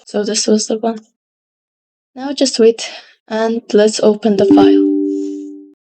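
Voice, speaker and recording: A woman narrating a casual step-by-step software tutorial as its presenter. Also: on the high side, low-quality recording.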